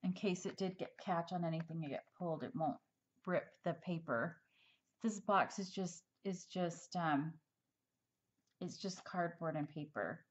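A woman giving a casual craft tutorial at a table, chatty and rambling with frequent ums, slightly hoarse as she loses her voice. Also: on the low side, unhurried. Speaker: crafter showing a handmade project. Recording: dull, lo-fi home recording.

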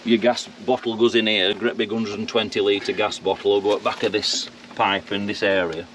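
Man, Barnsley accent, matter-of-fact, deep-ish, distant outdoor recording. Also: level tone, a little fast, a bit bright. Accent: Barnsley, Yorkshire. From Yorkshire, England. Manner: plain-spoken, matter-of-fact explaining. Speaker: motorhome owner. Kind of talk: casual walkaround tour. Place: outdoors at a campsite. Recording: distant voice, wind and outdoor noise.

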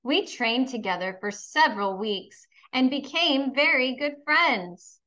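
Female kindergarten teacher, unhurried and bright, encouraging read-aloud delivery for little ones, slightly lo-fi sound. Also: high-pitched, expressive. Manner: encouraging, instructional, addressing young pupils with questions. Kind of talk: children's read-aloud lesson.

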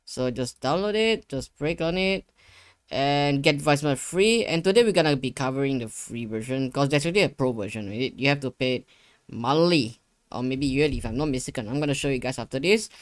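A young man giving a casual software tutorial, talking himself through each step in an informal, rambling way. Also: mid-range voice, animated, fairly quick, dry recording, clean studio sound. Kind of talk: casual screen-share tutorial. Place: indoor living room gaming setup. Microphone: close desktop USB microphone.

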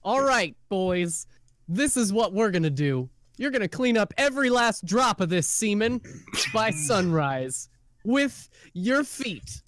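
A woman improvising an earnest, needy young male army captain in a cartoon fandub, with a naive deadpan manner. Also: medium pitch, a little slow, dry recording. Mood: commanding.

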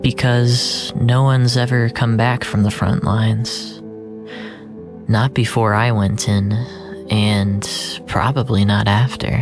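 Guy narrating a story, slow n brooding, stretches out phrases, bit of music behind him. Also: on the low side, fairly even intonation. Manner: deliberate, brooding, with drawn-out phrases. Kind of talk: dramatic first-person fiction narration.

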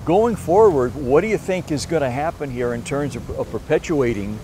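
A man's voice: fairly high pitch, expressive, normal speed, warm tone, slight background noise. A man in his sixties hosting an outdoor interview, prompting his guest and echoing him with short affirmations. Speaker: interview host. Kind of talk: conversational interview. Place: outdoors on palm-lined grounds by a church.

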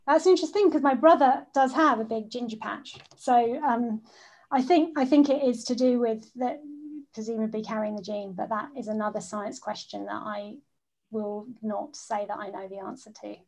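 A woman with a slightly high voice talks casually over a video call from a room at home. She hesitates often and laughs easily while answering questions. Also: fairly even intonation, normal speed, gravelly, darkish tone, low-quality recording. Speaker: guest speaker.

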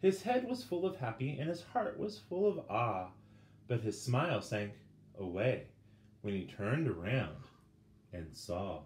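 A middle-aged man reading a children's picture book aloud with dramatic pauses, turning to the listeners with questions. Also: medium pitch, sing-song, a little mellow. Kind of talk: expressive children's storybook read-aloud. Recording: roomy indoor sound with faint background noise.

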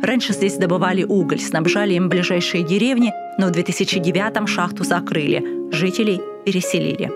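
Female news voice-over, lowish pitch, calm and measured, music bed clearly under her. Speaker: news reporter. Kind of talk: scripted news narration. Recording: voice-over with loud music behind.

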